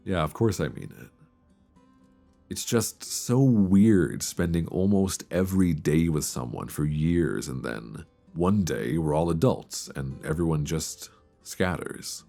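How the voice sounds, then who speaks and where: A very deep, creaky-voiced young man played by a male actor confides like a homesick old friend hiding a crush. His delivery is hesitant yet expressive, with plenty of movement in the pitch. It is an intimate romantic audio roleplay, captured close on a binaural mic. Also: slow, heavily acted. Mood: tender, melancholy. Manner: hesitant, confiding, conversational with sighs. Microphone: close binaural microphone. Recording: close binaural recording with soft guitar music.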